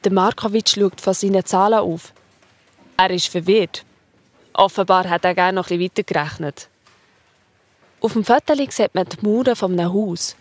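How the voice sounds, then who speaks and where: A woman's voice: mid-range voice, a little mellow, no echo. Swiss German woman narrating a children's story. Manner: colloquial storytelling.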